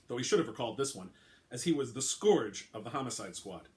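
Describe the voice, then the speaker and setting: An author reading his own fiction aloud in a relaxed, unhurried manner. His voice sits at a middle pitch for a man, and he drops the odd filler as he introduces the story. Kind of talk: dramatic reading.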